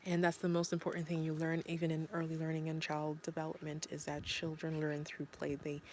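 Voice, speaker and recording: Woman from Zimbabwe, deep-ish voice, casual parent vlogger, earnest and rambling. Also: a little mellow, slight background noise. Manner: earnest, rambling with many fillers. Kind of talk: casual talk to camera.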